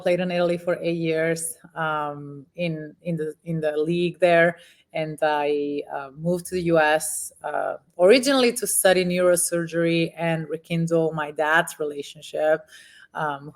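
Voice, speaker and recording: A woman from Venezuela in her thirties, a former pro volleyball player telling her story in a casual interview, with frequent ums and restarts. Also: low-pitched.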